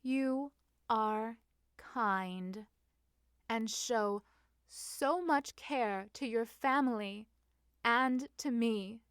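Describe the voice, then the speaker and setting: A giddy young Regency lady from a romantic story, acted by a woman narrator. She speaks in a dreamy, drawn-out way, playful and unguarded. The sound is dry and close. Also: on the high side, fairly bright tone, clean tone, no echo. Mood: dreamy, affectionate.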